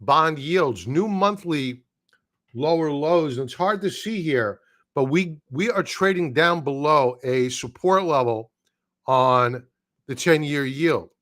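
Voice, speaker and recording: A man hosting a live market stream, talking through charts in a blunt, opinionated way with casual slang, his voice going hoarse and breaking into throat-clearing. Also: a little high, takes it slowly, fairly bright tone. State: losing his voice.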